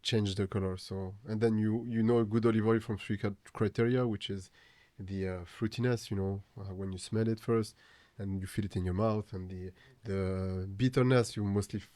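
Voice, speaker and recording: A man from Tunisia with a French Tunisian accent, a guest artist talking casually on a podcast, halting and filling with "you know". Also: on the low side, steady tone, mellow, no echo.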